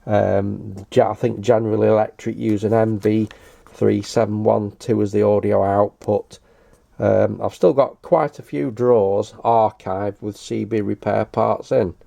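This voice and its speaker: Creaky-voiced middle-aged British man. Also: fairly low pitch, level tone, unhurried, mellow. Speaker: radio repairer presenting a hobby video. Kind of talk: unscripted show-and-tell narration.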